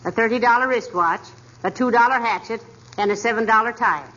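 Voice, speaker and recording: An actress playing a dry-witted, patient wife in a 1940s radio comedy, with a wry, teasing, sarcastic manner. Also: female voice, fairly high pitch, a little fast, fairly bright tone, dull audio. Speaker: lead character. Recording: hissy 1940s radio broadcast recording.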